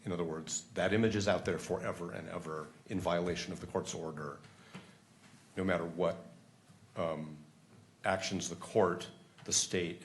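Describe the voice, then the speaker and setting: A man speaking as a defense attorney in court, arguing formally in a firm, deliberate way. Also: deep voice. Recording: clear background room noise.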